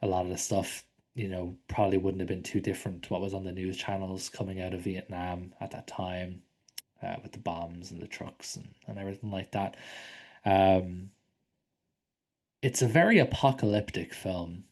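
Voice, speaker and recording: A man's voice: sits low, fairly even intonation, moderate pace. A man co-hosting a casual film podcast, rambling with lots of ums and likes and casual swearing. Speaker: podcast co-host.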